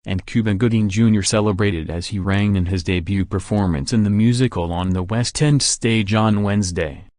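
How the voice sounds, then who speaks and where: A man narrating entertainment news in a steady, scripted read with no fillers. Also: fairly low pitch, dry recording, studio quality.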